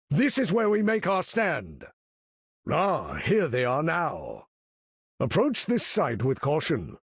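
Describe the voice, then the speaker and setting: A man's voice: a little high, creaky, breathy edge, no room sound, studio quality, full character voice. A man voicing an imperious older supervillain in a video game, commanding battle with pompous, theatrical, formal diction.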